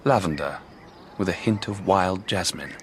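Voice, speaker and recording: An actor as a low-voiced, troubled detective. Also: male voice, moderate pace, background noise, natural voice. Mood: grave, wistful. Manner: intense, hesitant. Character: earnest, troubled male detective burdened by a secret. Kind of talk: radio drama acting.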